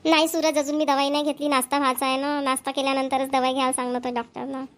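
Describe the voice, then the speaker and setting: A woman plays an overworked village housewife from a family cartoon. Her voice is high and rapid, with little rise and fall. She has a homely rural Varhadi Marathi accent and a plaintive way of talking. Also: level tone, natural voice. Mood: complaining. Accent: Maharashtrian, rural Varhadi Marathi.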